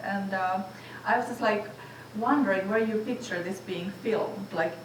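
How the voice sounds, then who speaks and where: A woman from Finland asking a question from the audience, softly and with many "like"s. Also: mid-range voice, unhurried, a little hiss or hum. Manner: soft, hesitant, with many "like"s. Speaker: audience member. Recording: distant mic in an echoey room.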